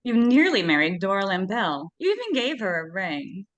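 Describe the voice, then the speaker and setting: A brisk housewife mother, played by a woman in a vintage radio play. Her voice moves in big, animated swings, and she has a matter-of-fact, brush-it-off manner. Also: mid-range voice, close to an everyday voice. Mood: relaxed, teasing. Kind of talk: old-time radio suspense acting. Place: live stage radio-play performance.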